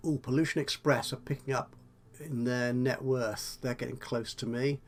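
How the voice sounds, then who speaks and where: A man with a slightly croaky voice, chatting and thinking aloud while commentating on a management game. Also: mid-range voice, steady tone, moderate pace. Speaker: let's play host. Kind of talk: casual gameplay commentary.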